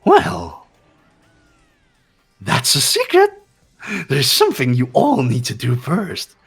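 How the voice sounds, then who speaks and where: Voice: medium pitch, huge pitch swings, slow, breathy edge, heavily acted. A performer voicing a gleeful, sadistic mascot bear in a fan-voiced video game, taunting and theatrical.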